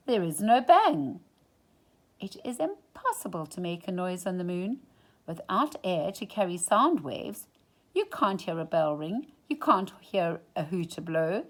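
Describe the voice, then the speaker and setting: A woman reading a children's picture book aloud in an expressive, dramatic storytime style. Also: medium pitch. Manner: dramatic, drops into hushed whispers for suspense. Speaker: storytime reader.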